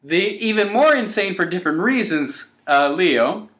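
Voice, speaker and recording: A man's voice: high-pitched, big pitch swings, moderate pace, a bit bright. A young man co-hosting a podcast, chatting casually in a wry, playful way. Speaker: podcast co-host. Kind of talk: casual fan chat.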